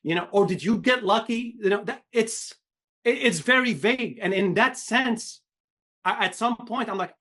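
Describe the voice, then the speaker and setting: A man's voice: sits high, normal speed, a bit bright. A middle-aged man from Syria, a film director giving a candid, emphatic interview with many restarts. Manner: emphatic, repetitive restarts, swears. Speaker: animation director, podcast guest.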